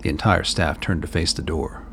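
A man's voice: rumbling low, moderate pace, noisy background. A man narrating a scripted radio play as its storyteller.

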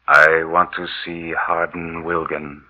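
An actor playing a cold, vengeful walking dead man in an old radio horror play, speaking in an ominous, deliberate, repetitive way. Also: low-pitched, flat delivery. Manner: slow, ominous, deliberate, repeating himself. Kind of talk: 1940s radio horror acting. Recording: muffled old 1940s radio recording.

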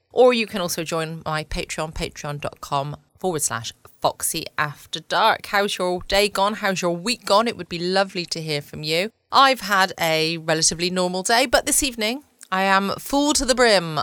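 A woman with an English accent hosting a podcast in a relaxed, chatty bedtime style. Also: fairly low pitch, dry recording. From United Kingdom. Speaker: podcast host. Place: at home, dry and close.

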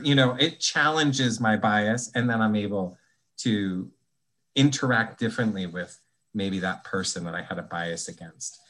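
Thoughtful man explaining in a relaxed interview. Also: mid-range voice. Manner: thoughtful, explanatory, frequent restarts. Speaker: guest, anti-bias trainer. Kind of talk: conversational podcast interview.

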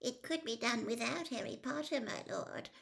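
A woman voicing a timid, servile male henchman in an audiobook, squeaky and nervous, words tumbling out as he pleads. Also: very animated, moderate pace, fairly bright tone, heavily acted.

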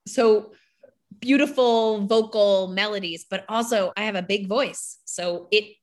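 A woman's voice: mid-range voice, measured pace. A woman hosting a conversational podcast, talking earnestly and reflectively in flowing asides. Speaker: podcast host. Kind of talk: casual conversation.

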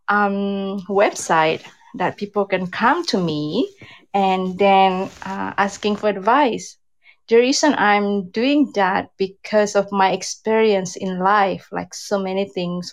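A woman in her fifties from Indonesia with an Indonesian accent, chatting candidly as an interview guest, her English loose in grammar. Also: medium pitch. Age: 50s. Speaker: guest, relationship advice creator. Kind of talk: casual interview chat.

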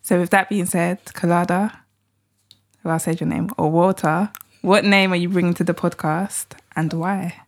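A woman's voice: lowish voice, fairly even intonation, moderate pace. A woman hosting a podcast, asking her guest questions in a friendly, curious way. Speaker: podcast host.

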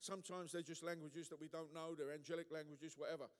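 Male pastor, high-pitched voice, emphatic colloquial sermon delivery, hall reverb. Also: level tone, quick pace. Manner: emphatic, colloquial, with rhetorical questions and calls for amen. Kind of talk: passionate sermon preaching.

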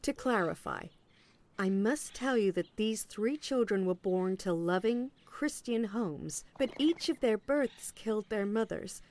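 A woman's voice: mid-range voice, animated, a little slow, darkish tone. A woman acting in an audio play as an officious agent, politely relentless and salesy, with veiled sarcasm. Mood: confidential, matter-of-fact.

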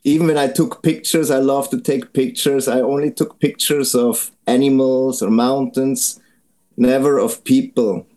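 Man, from Switzerland, missionary guest, earnest, simple phrasing, relaxed dark tone. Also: medium pitch, unhurried. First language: Swiss German. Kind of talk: interview, personal testimony.

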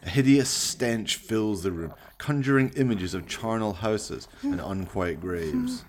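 A man's voice: lowish voice, big pitch swings, measured pace, slight background noise. A man giving theatrical, wry scripted narration for a horror radio play. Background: music.

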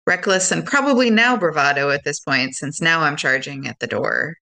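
A woman's voice: low-pitched, animated, normal speed, pushed and bright. A woman chatting casually as a player in a tabletop role-playing game.

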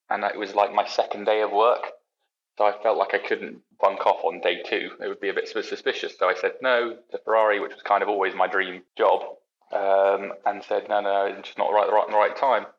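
A man's voice: on the low side, dull audio. A young man from the UK, a podcast guest, chatting casually and telling long rambling stories with plenty of restarts and self-deprecating asides. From United Kingdom. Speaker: podcast guest, racing engineer. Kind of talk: casual chat.

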